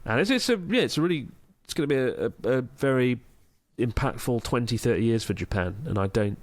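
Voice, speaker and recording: A man's voice: medium pitch, lively intonation, fairly quick, no echo. A man, co-hosting a casual podcast in a rambling, sardonic way. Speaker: podcast co-host.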